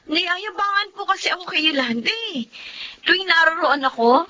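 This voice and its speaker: Bright-voiced, plaintive Filipina wife. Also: female voice, very high-pitched, lively intonation, normal speed, heavily acted. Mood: aggrieved. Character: modest, touchy wife resentful of showy friends. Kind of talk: Filipino radio drama acting. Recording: muffled audio with background noise.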